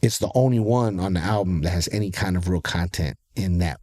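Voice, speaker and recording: A man with a nasal voice, a rapper talking loosely as a guest, animated and full of swearing and fillers. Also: low-pitched, a little fast. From Ontario, California. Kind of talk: casual interview chat.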